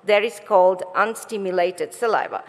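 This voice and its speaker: A woman's voice: mid-range voice, moderate pace. A middle-aged woman from Botswana, born in Sri Lanka, giving an explanatory conference talk as a research scientist. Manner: explanatory, asks rhetorical questions. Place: conference stage in a large hall. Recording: reverberant large hall.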